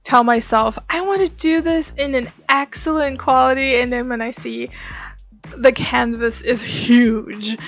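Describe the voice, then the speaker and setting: Young woman, high voice, slow chatty delivery, breezy and gushing, casual story-time host over music. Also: fairly bright tone.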